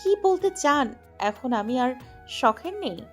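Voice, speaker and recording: A woman's voice: high voice, big pitch swings, takes it slowly, exaggerated voice. A woman playing an anguished young wife in a romantic audio story, tearful and accusing, pleading yet defiant. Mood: tearful, hurt. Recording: music behind the voice.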